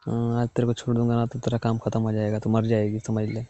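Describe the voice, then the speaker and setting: A man's voice: monotone, quick pace, very noisy. A young man in a casual private chat on a phone call. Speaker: caller. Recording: muffled low-fidelity phone call recording.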